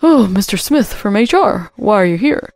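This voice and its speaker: A woman's voice: mid-range voice, a little fast, a little mellow, soft background music, lightly acted. A woman voicing a weaselly, defensive male store manager with a blustering, evasive manner in a story. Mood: nervous.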